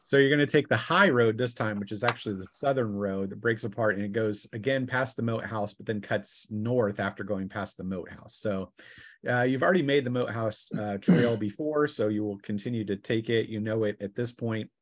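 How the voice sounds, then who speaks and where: Low-voiced male game master, dry close sound. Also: dry recording. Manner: halting, thinking aloud while narrating. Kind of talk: narrating a tabletop fantasy roleplay session.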